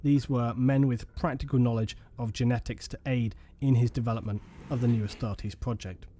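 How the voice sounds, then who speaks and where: A man giving scripted documentary narration on lore in a measured, solemn manner. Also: medium pitch, steady tone, dark tone, slight background noise. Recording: faint music bed behind.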